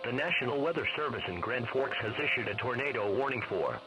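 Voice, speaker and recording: A man's voice: mid-range voice, animated, quick pace, highs cut off, music in the background. A man's voice, like text-to-speech, reading a scripted emergency weather bulletin over radio with sound behind it. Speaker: weather radio warning announcer.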